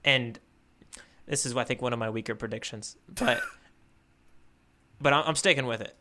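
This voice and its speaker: A man with a very bright, forward voice talks casually as a podcast co-host. He works through his thoughts out loud, doubling back on words as he goes. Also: mid-range voice, a little slow. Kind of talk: casual chat, manga discussion.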